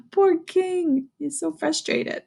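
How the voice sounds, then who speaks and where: A woman's voice: very high-pitched, takes it slowly, creaky, darkish tone. A woman reading a storybook aloud to young children, expressively, with asides to her listeners. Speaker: storybook reader.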